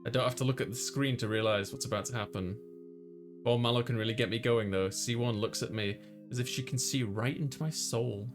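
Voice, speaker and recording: A man's voice: mid-range voice, fairly quick, over music, close to an everyday voice. Man playing a sheepish young college guy. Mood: flustered, sheepish. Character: flustered young male college student, narrating his story.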